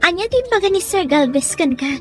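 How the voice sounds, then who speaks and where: A woman's voice: very high voice, animated, a little fast, fairly bright tone, soft background music. A woman voicing a concerned, frank teenage schoolgirl friend in an audio drama, with an Ilocano Filipino accent. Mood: curious, concerned.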